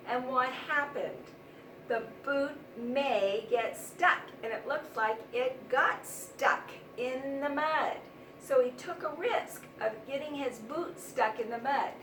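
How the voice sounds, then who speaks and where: A woman teaching young children, explaining things simply and deliberately with plenty of repetition. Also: high-pitched, fairly bright tone. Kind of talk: read-aloud vocabulary lesson for young children. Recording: roomy, distant mic, noisy background.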